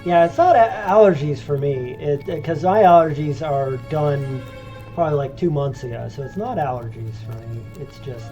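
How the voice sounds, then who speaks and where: A man's voice: fairly high pitch, normal speed, highs cut off. A man with a congested, phlegmy voice, giving chatty, joking commentary as he streams a video game. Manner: chatty and joking, rambling recaps. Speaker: let's play streamer. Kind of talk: casual gameplay commentary. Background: game music.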